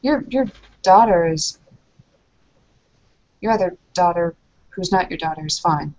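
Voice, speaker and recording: A woman speaking as her character in a tabletop roleplaying session, earnest and pausing to think mid-sentence. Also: lowish voice, animated, a little mellow. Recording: muffled lo-fi recording.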